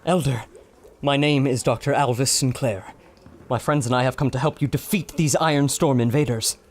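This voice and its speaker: An actor playing an earnest, wonder-struck scholar and adventurer in an audio drama, speaking articulately. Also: male voice, mid-range voice, fairly even intonation, normal speed, slight background noise, lightly acted. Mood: reverent awe.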